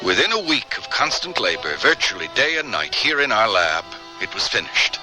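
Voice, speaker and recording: An actor playing a grieving, devoted scientist husband, solemn and theatrical in old-time radio drama style. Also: male voice, lowish voice, big pitch swings, music in the background, poor audio. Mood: solemn recollection. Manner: theatrical, halting, emotional delivery.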